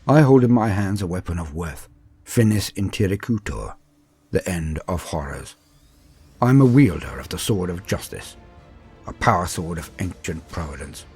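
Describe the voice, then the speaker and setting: A man playing a proud, coldly resolute veteran space marine captain in a solemn, measured sci-fi war monologue with dramatic pauses. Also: low-pitched, lively intonation, darkish tone, husky, faint music behind, exaggerated voice. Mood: proud, defiant.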